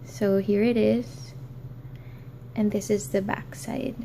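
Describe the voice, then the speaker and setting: A woman's voice: mid-range voice, flat delivery, takes it slowly, warm tone, a little hiss or hum. A young woman hosting a crafting tutorial, talking hesitantly with many ums and apologies, recorded in an office. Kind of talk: casual how-to tutorial.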